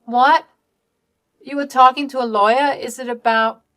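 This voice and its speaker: A woman narrator acts out a scheming, spoiled younger sister in a dramatic story. She is indignant and whiny, pleading and accusing melodramatically in a higher, louder voice. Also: expressive, unhurried. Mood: desperate pleading.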